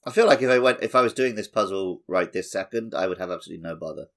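A man with a fairly low voice chats as a podcast co-host. He is informal and conversational, often qualifying what he remembers about gaming. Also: lively intonation, very fast. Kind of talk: casual chat about gaming.

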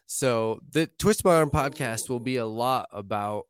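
A man's voice: mid-range voice, a little slow, clear, resonant tone, no echo, clean studio sound. A man hosting a podcast, rambling casually with restarts and the odd swear word. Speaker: podcast host.

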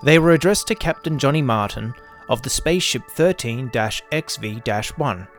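A man works as host and narrator, reading scripted first-person fiction. His pitch is average for a man, and faint music plays underneath. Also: animated, normal speed.

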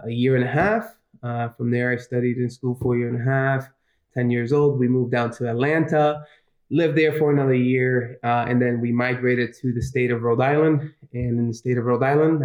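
A middle-aged man from Puerto Rico, a guest on a podcast, telling his story slowly with many pauses and fillers. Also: mid-range voice, a little mellow. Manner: hesitant, many uh fillers, reflective. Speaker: guest, business owner. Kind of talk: interview storytelling. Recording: echoey room.

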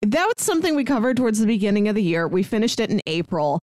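A woman's voice: medium pitch, sing-song, fast talker, a little mellow, no echo. A woman talking as a podcast co-host in a casual, conversational way. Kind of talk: casual chat about shows and films.

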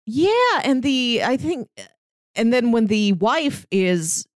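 Female podcast co-host, expressive casual storyteller, animated pitch, dry room-free recording. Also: slow, dry recording. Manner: expressive, conversational. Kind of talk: casual chat, retelling a story.

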